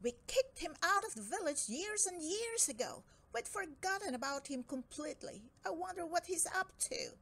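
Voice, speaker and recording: Woman as an agitated, high-voiced village gnome. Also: animated, moderate pace, few pauses, a bit bright, exaggerated voice. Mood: alarmed, puzzled. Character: indignant male village gnome.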